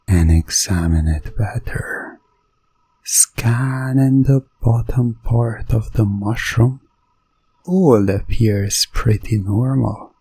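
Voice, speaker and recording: A man narrating a hypnotic ASMR science fiction roleplay in a deliberate, lulling way, repeating phrases and often dropping toward a whisper. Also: mid-range voice, dark tone, husky, rough recording. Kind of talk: slow hypnotic ASMR second-person narration of a sci-fi roleplay.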